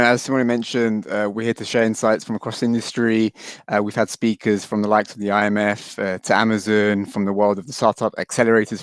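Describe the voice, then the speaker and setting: A man moderates an online webinar, easygoing and loose, with "so" and "uh" sprinkled through his talk. His voice sits in a middle range and sounds dry and close, with no room echo. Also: mid-range voice. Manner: casual, fills with "uh" and "so". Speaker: webinar host. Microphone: video call.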